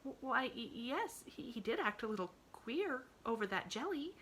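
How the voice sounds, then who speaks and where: A woman's voice: high voice, sing-song, moderate pace, bright, forceful tone, a little hiss or hum, voice pushed up, full character voice. A woman voicing a cheerful, earnest little girl who talks eagerly. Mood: protesting.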